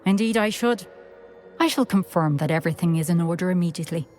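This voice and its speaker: A woman's voice: fairly low pitch, fast, a little mellow, faint music behind, natural voice. An actress playing a dignified older witch headmistress in a video game, formal and wry in manner. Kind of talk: video game cutscene acting.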